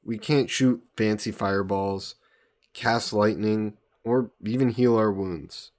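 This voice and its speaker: Slow-talking, low-voiced young hero played by a man. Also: a little mellow. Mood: explaining, playful. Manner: unhurried, chatty, playfully teasing. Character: easygoing young summoned hero from Earth, teasing and fond. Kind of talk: fantasy roleplay audio, addressing the listener.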